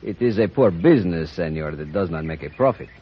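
An actor playing a courteous, insinuating Mexican town mayor in a 1950s radio western, with a Mexican accent. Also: male voice, low voice, expressive, fairly quick, breathy edge. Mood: suave, guarded. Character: smooth, sly red-headed Mexican-Irish town mayor. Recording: dull, muffled 1950s radio recording.

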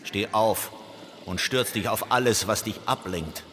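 An actor in a radio play playing the devil's exasperated confidant, scolding and sarcastic. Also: male voice, on the low side, measured pace, a bit bright. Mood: scolding, disgusted. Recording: long reverb, faint background noise.

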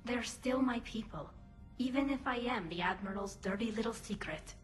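An actress voicing a young female alien engineer in a video game cutscene, speaking softly in an earnest, loyal manner. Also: on the high side, normal speed, faint music behind, close to an everyday voice.

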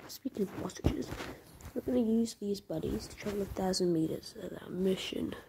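A woman with a croaky voice, chatting in half-whispers while commenting on a mobile game she plays. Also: mid-range voice, warm tone, slight background noise, poor audio. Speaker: gameplay commentator.

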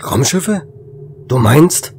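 A man's voice: mid-range voice, big pitch swings, extremely slow, vocal fry, a little mellow, a little hiss or hum, full character voice. A man playing a desperate, weary space station officer in an audio drama, speaking in urgent, dramatic, clipped phrases.

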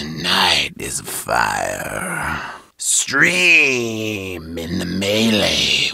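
An actor playing a feral wolf-worshipping berserker warrior in a video game, with a gravelly, raspy voice and snarling, bellowing battle shouts. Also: male voice, mid-range voice, dry recording, studio quality, full character voice.

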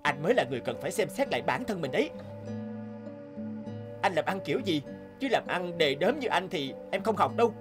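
An earnest young man in a cartoon moral tale. He is studious and upright, speaking with reproach and lively intonation. Music sits behind the voice. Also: high voice, fairly quick, long pauses. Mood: indignant. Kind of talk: animated moral tale dialogue.

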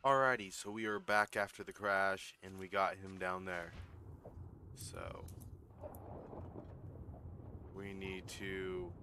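A man giving casual gameplay commentary while losing his voice, excitable and swearing when something startles him. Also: deep voice, measured pace, a little hiss or hum. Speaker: gaming YouTuber.

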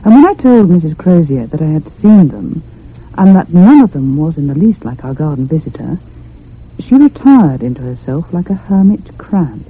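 A troubled, earnest woman tells her haunting in a very husky voice. Her delivery is literary and intense, in the style of a radio horror play, on a muffled, phone-like recording. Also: low-pitched, very animated, moderate pace, exaggerated voice. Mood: tense, brooding. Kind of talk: first-person dramatic radio horror narration.

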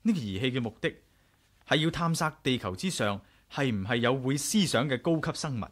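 An actor with a Hong Kong Cantonese accent playing a curious, self-assured adventurer in a radio drama, frank and measured. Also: male voice, medium pitch, animated, a bit bright. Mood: frank, speculative. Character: curious, bold male adventurer narrating his own tale. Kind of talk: radio drama acting and narration.

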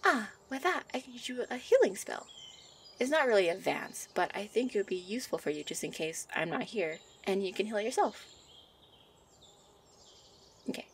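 A woman voicing a shy, gentle young nature fairy who teaches affectionately in a soft ASMR roleplay. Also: mid-range voice, expressive, normal speed, long pauses, slight background noise, lightly acted. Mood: tender concern. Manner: soft, encouraging, affectionate, giggly. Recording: birdsong and outdoor ambience behind. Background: birdsong ambience.

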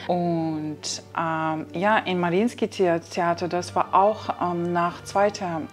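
A woman's voice: fairly low pitch, steady tone, slow. Woman, 30s, opera singer interviewed on a lapel mic, hesitant, with music under her. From Kazakhstan. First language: Kazakh. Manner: hesitant, searching for words, many fillers. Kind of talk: interview answers. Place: ornate indoor reception room.

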